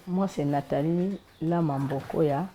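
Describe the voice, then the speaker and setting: A woman from Congo speaking slowly and measuredly, giving personal testimony for a documentary. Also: low voice, mellow, faint music behind. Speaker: interviewee.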